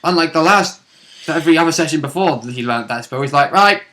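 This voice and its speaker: A man in casual, animated, slangy chat as a tabletop role-playing player, acting out moments from the game. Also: fairly high pitch, brisk.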